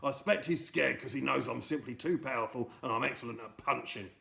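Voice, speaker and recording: A man doing gruff old bully Mister Gum. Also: medium pitch, a little fast, bright, forceful tone. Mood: gruff boastful. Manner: gruff, boastful, ungrammatical.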